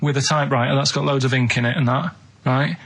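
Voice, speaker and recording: A man with a northern English Manchester accent chatting casually on radio in a deadpan, stubborn, rambling way. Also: mid-range voice, quick pace, a little hiss or hum. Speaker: radio show co-host.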